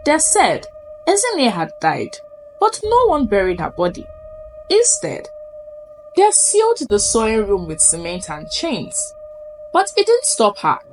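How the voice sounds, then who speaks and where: A woman's voice: on the high side, low-quality recording. A Nigerian woman narrating a spooky folktale in a suspenseful, theatrical way. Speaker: storyteller. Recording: music under the voice. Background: background music.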